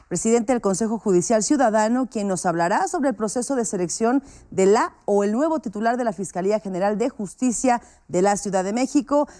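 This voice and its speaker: A woman's voice: fairly high pitch, dark tone. A woman news anchor interviewing a guest with polite, conversational questions.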